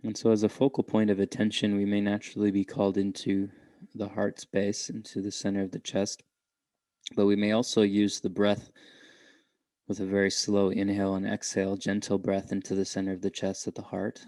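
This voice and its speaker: A man guiding a meditation in a gentle, unhurried way, leaving long pauses between short phrases. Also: on the low side, monotone, dry recording. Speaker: meditation guide. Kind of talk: guided meditation.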